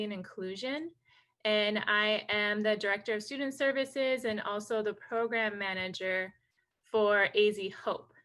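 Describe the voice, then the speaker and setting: A woman from North Dakota whose first language is Hidatsa presents informally online. Her voice sits fairly high and is very bright and forward. She sounds enthusiastic and informal, like a program director greeting students. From North Dakota, USA. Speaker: program director, guest speaker.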